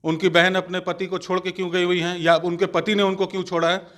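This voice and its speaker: Guy doing party spokesman answers, kinda high voice, combative, scornful, pushing every accusation hard. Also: level tone, fairly quick, fairly bright tone. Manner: accusatory, emphatic, scornful of rivals. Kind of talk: combative political interview answers.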